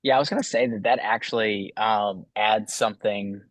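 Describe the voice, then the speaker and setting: A man's voice: on the low side, fairly even intonation, moderate pace, dry recording. A man who hosts a podcast, sharing his thoughts on a group call in a rambling way, full of "like". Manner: rambling, fillers of "like". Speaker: podcast host.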